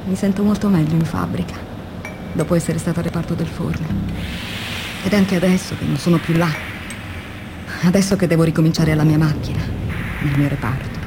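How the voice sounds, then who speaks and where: A woman's voice: deep voice, steady tone, a little fast, long pauses. An actress playing an earnest, weary woman factory worker in a radio drama monologue, reflective and intense in a theatrical way. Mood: sombre, resigned. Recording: noisy radio drama with background ambience.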